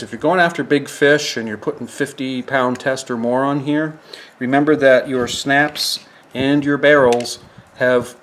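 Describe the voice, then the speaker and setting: A man's voice: mid-range voice, a little hiss or hum. A man giving a plain, step-by-step how-to demonstration at a work table. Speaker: fishing tackle instructor.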